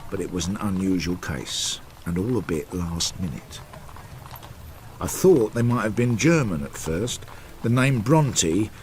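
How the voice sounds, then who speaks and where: A Victorian detective narrating a radio drama. The voice is low and slow yet nicely varied in pitch. He speaks in short, understated, reflective phrases. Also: darkish tone, slight background noise. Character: reflective Victorian detective recalling an old case.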